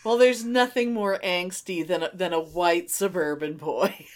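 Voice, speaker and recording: A middle-aged woman co-hosting a podcast in casual, unscripted chat, animated and informal with easy swearing. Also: on the low side, unhurried. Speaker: podcast co-host.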